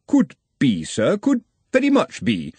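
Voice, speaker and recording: A man's voice: high voice, huge pitch swings, a little slow, darkish tone, husky, no room sound, voice pushed up, full character voice. A man voicing a bumbling, self-important male servant in a storybook, wordy and obsequiously deferential. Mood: nervous, officious.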